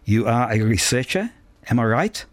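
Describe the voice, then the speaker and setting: A man's voice: mid-range voice, big pitch swings, moderate pace, no echo, natural voice. Man playing a French woman in her thirties, academic, direct, forward, audiobook character, dry sound. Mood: friendly, inquisitive.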